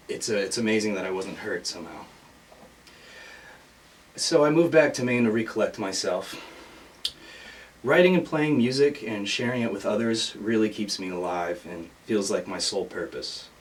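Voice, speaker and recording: An American man speaks in a lowish voice, a little away from the mic, with faint noise around him. He sounds earnest and pauses as he shares a personal biography. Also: far from the mic. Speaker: musician, singer-songwriter. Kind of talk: personal biography told for an audition.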